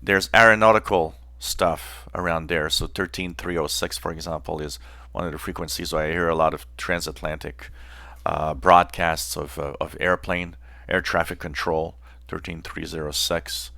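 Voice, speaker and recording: Very deep-voiced man, bright and forceful. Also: steady tone, normal speed, creaky, no echo. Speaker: host of a shortwave radio channel. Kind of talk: informal explanatory demonstration.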